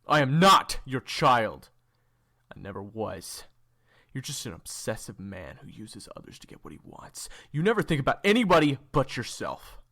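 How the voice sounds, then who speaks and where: A man playing a bitter, defiant assassin in an audio roleplay, with a gravelly, husky, seething delivery. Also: medium pitch, big pitch swings, normal speed, pushed and bright, heavily acted. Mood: furious. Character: bitter, defiant male assassin, former protégé of villain.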